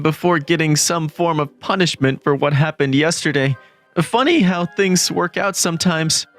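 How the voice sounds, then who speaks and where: A man's voice: sits high, a bit bright. A man acting a gloomy lovestruck schoolboy over music. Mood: resigned. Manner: self-deprecating, dramatic. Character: gloomy introverted teenage schoolboy, lovestruck and easily panicked. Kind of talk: first-person manga story narration. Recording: music bed under the voice.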